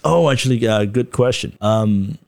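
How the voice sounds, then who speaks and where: A man's voice: mid-range voice, moderate pace, vocal fry, darkish tone. A man from Darjeeling with a slight Darjeeling accent, chatting casually as a podcast guest, laid-back and laughing often while he acts out quotes. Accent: Darjeeling Nepali. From Darjeeling, India. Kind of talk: casual chat.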